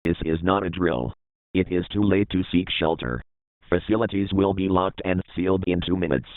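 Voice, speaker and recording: A man voicing a stern official emergency broadcast announcer, formal and measured, in a thin band-limited recording. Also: sits low, phone-quality sound, close to an everyday voice. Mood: stern warning. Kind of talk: scripted emergency alert announcement.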